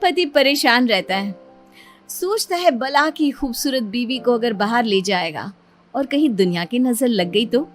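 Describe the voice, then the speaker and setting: Casting: female storyteller for scripted narration, slightly high voice, music bed. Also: expressive, measured pace.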